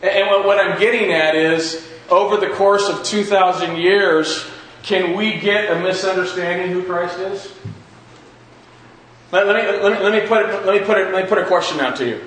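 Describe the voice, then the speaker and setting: A man's voice: high-pitched, moderate pace, fairly bright tone. A man teaching an informal church Bible class, repeating and rephrasing his questions for emphasis as he addresses the group. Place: large echoey indoor room with a class present. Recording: very echoey room with faint noise.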